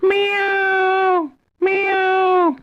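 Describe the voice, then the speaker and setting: A woman's voice: monotone, extremely slow, muffled recording, exaggerated voice. A woman voicing a greedy, predatory cat in a cartoon animal story, boastful and threatening, with meows. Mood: hungry, scheming. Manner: gloating, threatening, with high meows.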